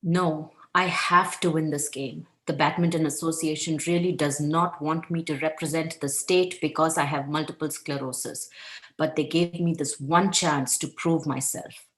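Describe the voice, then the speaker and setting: Woman reading an anxious, determined teenage athlete, lowish voice. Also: steady tone, moderate pace, lightly acted. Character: anxious, determined teenage girl badminton player. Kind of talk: table read of a play script.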